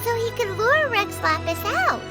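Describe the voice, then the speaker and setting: Tiny fairy sidekick: extremely high, bouncy and childlike, excitable game character, music underneath. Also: female voice, measured pace, exaggerated voice. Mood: puzzled, curious. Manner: speaks of self in third person, exclaiming. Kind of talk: animated video game character acting. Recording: music behind the voice.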